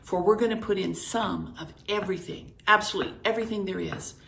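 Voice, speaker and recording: A bossy, eager little girl, as a woman reading aloud puts her on, who is brisk and emphatic with a gravelly edge. It is a storybook reading in an echoey room. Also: medium pitch, fairly bright tone. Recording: echoey room, faint background hum.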